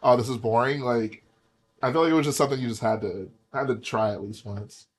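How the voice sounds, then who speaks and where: A man's voice: mid-range voice, a little fast. A young man hosting a livestream, chatting casually and rambling with lots of fillers while he builds. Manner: relaxed, rambling with many "like" fillers. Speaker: livestream host. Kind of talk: casual chat while building Lego sets.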